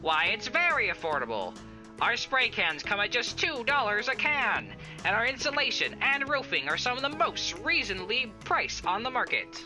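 A woman's voice: on the high side, sing-song, moderate pace, over music. A woman playing an upbeat, slick saleswoman in an old-time radio advertisement, theatrical and salesy. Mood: upbeat, persuasive.

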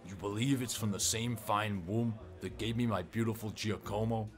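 A man's voice: fairly low pitch, fairly even intonation, moderate pace, soft background music, lightly acted. A man playing an older mob boss, wry and disdainful, in a comic dub. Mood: wry, scornful. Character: scornful older male mobster, belittling father.

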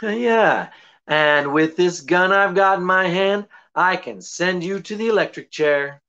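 Man as a slow, suave detective. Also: sits high, sharp tone, heavily acted. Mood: wry. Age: middle-aged. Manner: unhurried, wry, hesitating for effect. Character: suave, teasing married male detective. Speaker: actor. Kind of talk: old-time radio detective comedy acting. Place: indoors, small draped room. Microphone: video call. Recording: muffled video-call audio.

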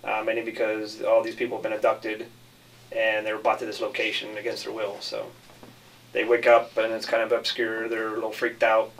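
A man speaks as a film director in a casual interview. His pitch stays quite level and unshowy. He sounds a little way off from the microphone. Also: monotone, a little fast, fairly bright tone, distant mic, rough recording.

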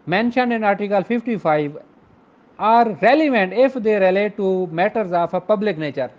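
High-pitched Pakistani man lecturing. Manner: explanatory, switches between Urdu and slowly read English. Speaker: law lecturer. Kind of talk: informal lecture, reading out and explaining legal articles. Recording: dull lo-fi recording.

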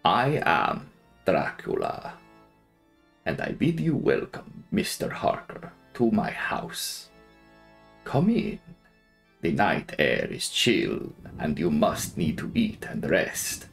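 A man voicing a courtly, sinister aristocratic vampire count in a gothic novel, speaking formally and with slow, drawn-out deliberation. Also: deep voice, very animated, vocal fry, soft background music, voice pushed down, full character voice. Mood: courtly, welcoming. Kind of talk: dramatic audiobook reading of gothic novel.

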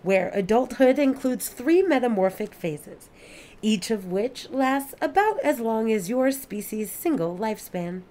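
A woman's voice: a little high, lively intonation, measured pace, warm tone. A woman playing an earnest, curious, newly adult alien in an audio play, speaking in formal, precise, stilted sentences.

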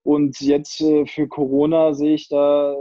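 Young man, handball player, mellow tone, casual, halting, earbud mic, dull dry sound. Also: on the high side, dry recording. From northern Germany, Kiel area. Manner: relaxed, thinking aloud with many fillers and restarts. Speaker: handball player, interview guest. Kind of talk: casual video-call interview. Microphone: earbuds on a video call.

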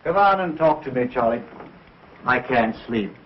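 A man's voice: medium pitch, expressive, moderate pace, lightly acted. A man playing a weary ventriloquist who cannot sleep, talking to his dummy, in an old film. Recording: dull, hissy old film soundtrack.

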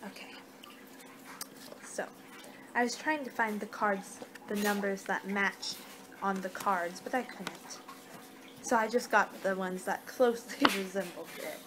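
A young woman doing an ASMR card-reading role-play with a halting, unhurried delivery. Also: mid-range voice. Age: young adult. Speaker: ASMR performer in a fortune-teller role-play. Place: small home room, indoors. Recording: noisy amateur webcam-style room recording.

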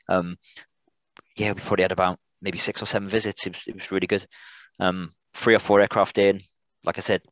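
A British man informally answering questions as a presenter on a video call, in a halting way with repeated words and restarts. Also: sits low, no echo, poor audio. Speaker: air traffic controller, guest presenter.

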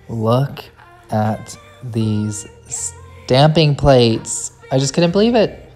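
A woman's voice: very deep voice, mellow. A woman narrating a casual shopping haul vlog as a nail art YouTuber, gushing with enthusiasm as she shows her finds in a store and at her home desk.

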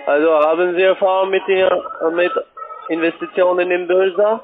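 A man who is not a native German speaker, giving a sales pitch as a cold caller. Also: level tone, normal speed. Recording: tinny phone line.

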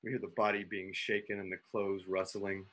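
A man's voice: low voice, little pitch movement, brisk, fairly bright tone. A man narrating a radio drama, reading stage directions and sound cues aloud over a video call. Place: room at home, on a video call.

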